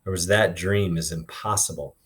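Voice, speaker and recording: A man with a fairly low voice reads aloud in the role of a teacher. He narrates expressively and slips into informal, instructive asides to explain things. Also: steady tone, normal speed, a bit bright. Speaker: teacher reading aloud to a class.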